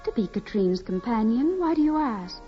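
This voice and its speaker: A naive young country woman in an old radio melodrama. Her voice is on the high side, earnest and gushing, with music underneath. The recording is muffled and vintage. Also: very animated, normal speed. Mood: curious, uneasy. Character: naive, eager young farm woman, dreamy and breathlessly excited. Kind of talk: 1940s radio acting, dramatic first-person narration.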